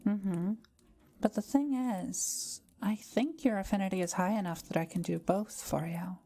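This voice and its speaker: A woman voicing a kind, calm, scholarly forest witch in an intimate audio roleplay, explaining things in a gentle, measured way. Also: fairly low pitch, vocal fry. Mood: patient, reassuring, then thoughtful, lightly amused.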